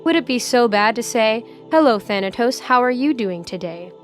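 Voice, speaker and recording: A woman's voice: fairly high pitch, animated, normal speed, soft background music. A woman narrating a scripted story for an audio drama.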